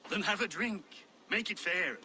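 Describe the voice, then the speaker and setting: An actor playing a proud, scheming, hard-drinking Viking warrior lord in a video game, hearty and commanding. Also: male voice, sits high, normal speed, sharp tone, slight background noise. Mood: drunken, jovial. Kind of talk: video game dramatic acting.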